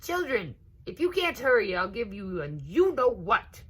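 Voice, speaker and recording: A woman voicing a brisk, scolding mother alligator in a storybook, firm and urging. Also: mid-range voice, sing-song, fairly bright tone, slightly rough, pitched above the performer's own voice, heavily acted. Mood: impatient, urging.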